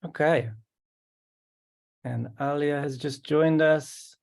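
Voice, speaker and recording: A man hosting an online meeting, welcoming each participant calmly and often repeating his words. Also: fairly high pitch, slow pace, creaky. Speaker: host. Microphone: video call.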